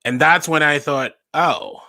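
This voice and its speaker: A young adult man from Haiti, a stand-up comedian telling his story in a casual interview, with animated emphasis. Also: fairly high pitch, unhurried, no room sound.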